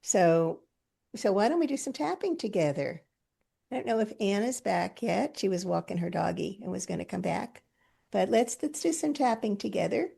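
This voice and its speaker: A woman's voice: medium pitch, lively intonation, normal speed, vocal fry, dark tone, slightly rough. A woman hosting a group video call, chatty and enthusiastic, telling stories and laughing often. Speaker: host.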